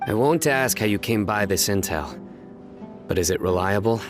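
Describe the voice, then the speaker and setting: An actor as a solemn male investigator in a video game. He speaks in a low voice, measured and formal, with a grave authority. Music is clearly heard underneath. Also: lively intonation, lightly acted. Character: stern, solemn young male investigator of few frills.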